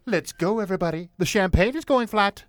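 Man playing a lively, flirtatious first officer. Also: sits high, fairly quick, no room sound. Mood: carefree. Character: lecherous, flippant male starship first officer. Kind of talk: comedic radio theatre parody acting.

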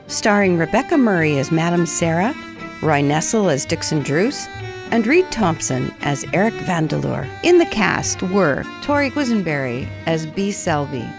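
A woman announcer reading scripted radio drama credits. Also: fairly low pitch.

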